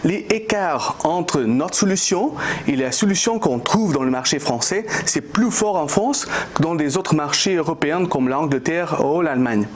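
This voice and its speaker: A man's voice: on the high side, expressive, moderate pace, a little hiss or hum. A man in his thirties, a startup CEO from Portugal, answering interview questions in a hesitant way with a Portuguese accent and many restarts. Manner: hesitant, many fillers and restarts, non-native phrasing.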